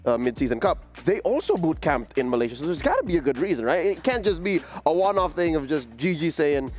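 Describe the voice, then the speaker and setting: A man's voice: on the high side, very animated, fast talker, faint music behind. A man hosting an esports panel, casual and chatty, with teasing asides. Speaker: esports desk host.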